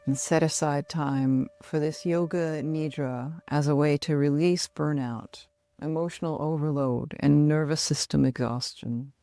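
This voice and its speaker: A middle-aged woman guiding a relaxation meditation in a soothing, measured manner with long pauses. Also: deep voice, fairly even intonation, warm tone, smooth, dry recording, faint music behind. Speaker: yoga nidra meditation guide.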